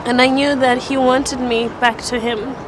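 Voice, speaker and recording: Young woman from zimbabwe telling her church story, flat steady voice, street noise behind. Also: high-pitched, moderate pace, a crowd in the background. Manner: earnest, even delivery with small restarts. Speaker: church testimony speaker. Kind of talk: personal faith testimony.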